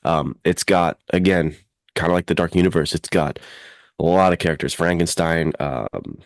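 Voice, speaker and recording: Very deep-voiced man, podcast chat. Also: normal speed, vocal fry, no room sound, clean studio sound. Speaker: podcast co-host. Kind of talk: casual pop-culture podcast chat.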